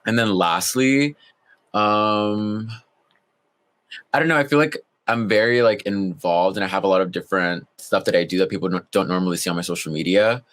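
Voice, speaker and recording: A laid-back young man with a very low voice, chatting as a podcast guest. He fills his sentences with "like" and keeps an easy, relaxed flow. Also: moderate pace. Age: young adult. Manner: laid back, heavy use of "like". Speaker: guest, college student. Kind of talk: casual interview chat.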